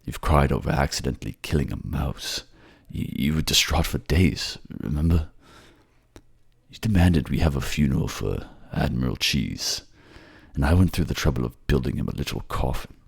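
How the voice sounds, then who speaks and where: A man voicing a grumpy, battered but tender warrior king, gruff, weary and halting, in a fantasy audio drama. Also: very deep voice, expressive, heavily acted. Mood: fond.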